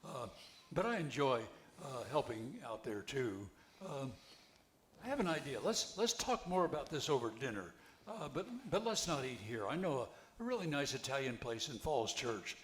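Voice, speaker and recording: An older man reading the part of an earnest, helpful widower in a play, with a halting delivery. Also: medium pitch, very animated, a bit bright, slight background noise. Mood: friendly. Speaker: amateur actor reading a play. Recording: echoey, roomy amateur recording.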